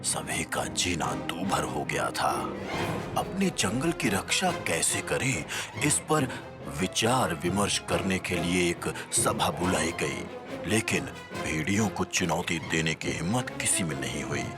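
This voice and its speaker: A kindly old panda elder in a children's cartoon. His voice sits very low and moves slowly, with dramatic, animated swings as he spins tales for the young ones. Loud music plays behind him. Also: over a noisy crowd. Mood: dramatic, storytelling. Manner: unhurried, dramatic storytelling with chuckles. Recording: music under the voice.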